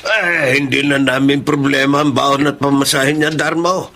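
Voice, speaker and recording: A man playing a kindly old grandfather in a radio drama, gravelly and affectionate in a homely way. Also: medium pitch, steady tone, normal speed, pushed and bright, slight background noise. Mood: calm, defensive. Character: kindly old grandfather Tiago, jeepney driver.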